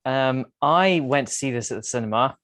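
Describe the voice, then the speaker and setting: A man talks casually as a podcast panelist, with a mid-pitched voice. He weighs his words and pauses between phrases. The recording is very dry, with hardly any room sound. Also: lively intonation, fairly bright tone, dry recording. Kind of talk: casual film discussion.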